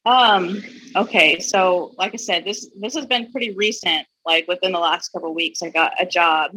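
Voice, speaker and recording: A woman in her twenties, a singer chatting as a guest, upbeat and quick to laugh. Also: on the low side, pushed and bright, slightly rough, no echo, poor audio. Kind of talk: casual conversation.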